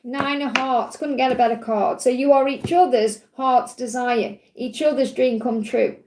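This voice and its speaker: A woman's voice: sits high, steady tone, moderate pace, darkish tone. A woman with a Stoke-on-Trent accent doing a live tarot reading, answering viewer questions in a soothing, reassuring way and checking in often with "okay?". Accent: Stoke-on-Trent English. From England (Stoke-on-Trent). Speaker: tarot reader on a livestream.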